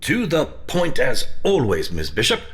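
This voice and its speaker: An actor playing a suave, mysterious manor host in an audio drama, grandiloquent, teasing and evasive. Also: male voice, mid-range voice, huge pitch swings, measured pace, a bit bright, exaggerated voice.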